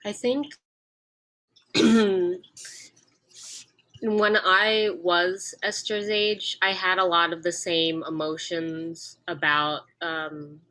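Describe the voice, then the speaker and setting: A woman's voice: on the low side, little pitch movement, drawn-out words, long pauses, bright, forceful tone, rough recording. A young adult woman from the United States, an actor on a discussion panel, speaking thoughtfully and earnestly with frequent fillers.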